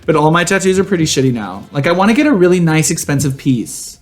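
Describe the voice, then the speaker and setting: A young man with a fairly high voice chats as a podcast co-host. His storytelling is campy and full of slang, with music behind him. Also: sing-song, fast. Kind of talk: casual chat.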